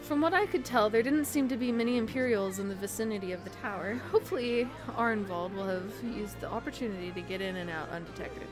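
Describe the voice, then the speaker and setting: A woman's voice: medium pitch, quick pace, few pauses. A woman streaming a game, reading dialogue aloud theatrically and dropping in casual, playful asides. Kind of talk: let's-play commentary and dialogue read-aloud. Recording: game music behind the voice. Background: video game music.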